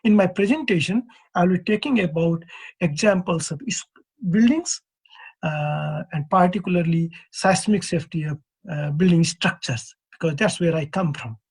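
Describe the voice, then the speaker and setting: Husky Nepali-accented man presenting. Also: fairly high pitch, a little mellow, rough recording. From East Nepal. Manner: measured, earnest, poses questions to the audience. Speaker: earthquake engineer presenting at a convention. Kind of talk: prepared conference presentation.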